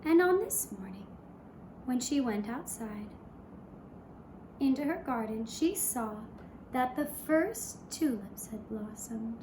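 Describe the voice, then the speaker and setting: A woman storyteller narrating a fairy tale for young children in a gentle, measured manner with short pausing phrases. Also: a little high, lively intonation. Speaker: puppet show storyteller. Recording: roomy echo, clear background noise.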